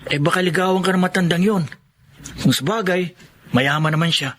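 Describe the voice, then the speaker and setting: A man's voice: high-pitched, brisk, fairly bright tone. A man playing a worried, stubborn younger brother in a Filipino radio drama.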